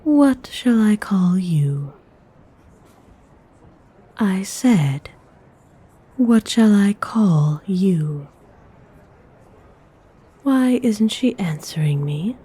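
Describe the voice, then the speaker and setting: A woman playing an aristocratic lady vampire in an ASMR audio roleplay, speaking softly in a formal, refined, half-whispered way, haughty yet benevolent. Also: mid-range voice, animated, heavily acted. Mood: commanding, curious. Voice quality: soft, breathy, half-whispered. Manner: unhurried, formal, coolly refined.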